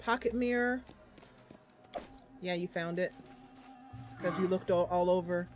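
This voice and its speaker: A woman's voice: fairly low pitch, unhurried. A woman giving casual gameplay commentary, chatting to viewers and thinking aloud as she goes. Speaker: gaming channel host. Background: game music.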